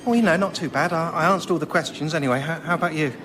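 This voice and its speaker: A man's voice: a little high, rapid-fire, heavy background noise, lightly acted. A man playing a fussy, earnest, slightly pompous fellow in radio sketch comedy, articulate but hesitant. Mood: anxious, puzzled. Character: fussy, earnest storyteller and anxious exam-taker.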